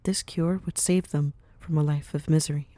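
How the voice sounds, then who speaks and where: Commanding mafia matriarch played by a woman: lowish voice, cultured, poised and formal, sly warmth, close dry sound. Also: steady tone, normal speed, no room sound, natural voice. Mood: earnest, businesslike. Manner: poised, grandly formal, uses endearments like dearie. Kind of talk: scripted audio roleplay acting.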